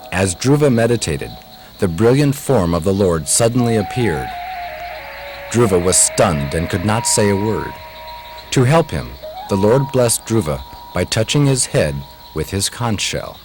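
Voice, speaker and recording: A man narrating a scripted devotional story in a solemn, dramatic way. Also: on the low side. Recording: music behind the voice.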